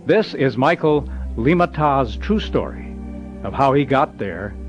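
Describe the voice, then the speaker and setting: Steady male radio announcer over music. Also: medium pitch, unhurried, muffled recording. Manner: formal, steady. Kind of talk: scripted broadcast introduction.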